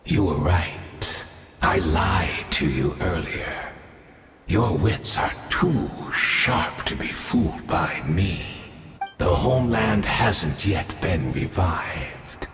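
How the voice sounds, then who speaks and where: A man's voice: low voice, animated, takes it slowly. An actor voicing a scheming, smug male villain in a video game, speaking with theatrical, polished menace. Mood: scheming calm. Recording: echoey reverb with game music.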